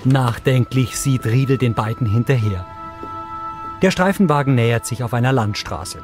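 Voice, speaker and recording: A man's voice: medium pitch, lively intonation, moderate pace, music in the background. A man reading scripted, neutral audio description for a drama.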